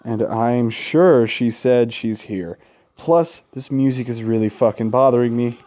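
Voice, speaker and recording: A man doing gaming commentary, reading a visual novel aloud with casual, sweary asides and an expressive, dramatic delivery. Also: medium pitch, measured pace, lo-fi sound. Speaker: let's play host. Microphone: close gaming microphone.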